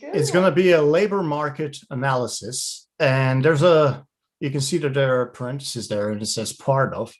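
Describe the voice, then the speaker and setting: A man from Slovenia giving a webinar talk over a video call, in a relaxed and chatty way. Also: medium pitch, normal speed. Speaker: guest speaker. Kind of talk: presenting a webinar.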